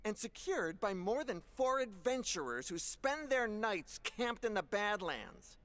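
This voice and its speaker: An actress playing a haughty, ruthless council official in a video game, imperious and cutting. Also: female voice, medium pitch, sing-song, a little slow, a bit bright. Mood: insistent. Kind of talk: video game cutscene acting.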